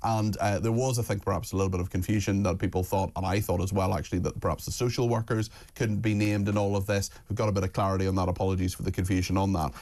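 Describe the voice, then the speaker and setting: Radio host guy, lowish voice, quick and polite. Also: flat delivery, darkish tone. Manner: brisk, polite, moves the show along. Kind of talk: talk radio presenting.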